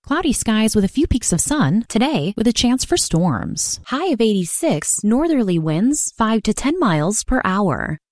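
A woman reading the weather on the radio, brisk and clear. Her pitch moves a lot, and the sound is dry and close. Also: sing-song, darkish tone, dry recording. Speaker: meteorologist.

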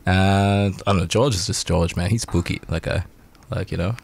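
A young man from Sri Lanka talks about his band in a relaxed, slangy way and swears freely. His voice sits very low with a gravelly fry. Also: normal speed. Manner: laid-back, swears a lot, slangy. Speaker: band singer. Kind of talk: casual interview chat.

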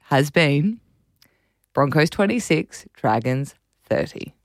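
Raspy Australian woman on breakfast radio. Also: low-pitched, sing-song, slow pace, no echo, clean studio sound. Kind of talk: casual breakfast radio sports chat.

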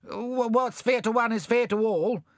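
A man's voice: very high-pitched, a little slow, no echo, studio quality, pitched above the performer's own voice. A man doing a whiny, timid constable. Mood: peevish. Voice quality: whiny, nervous. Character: timid peevish male constable.

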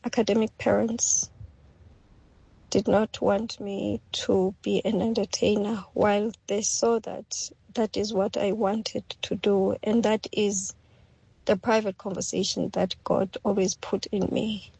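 A middle-aged woman speaks earnestly and personally, with a gravelly, husky voice and creaky edges. The sound is close and dry. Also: medium pitch, level tone, measured pace, warm tone, no room sound, poor audio. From Tanzania. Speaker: radio caller.

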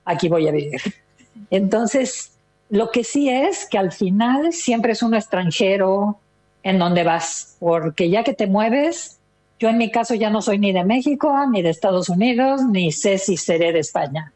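Older female interview guest, Mexican accent, medium pitch, casual and chatty storyteller. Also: animated, measured pace, darkish tone. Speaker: interview guest, retired listener.

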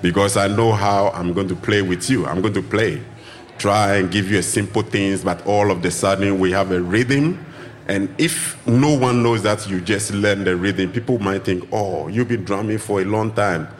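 A man's voice: moderate pace, a little hiss or hum. A man from Ghana who teaches drumming, answering radio interview questions in loose, searching phrases full of restarts. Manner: loose, searching phrasing with restarts, acts out greetings in high voices. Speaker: drumming teacher and tour guide.

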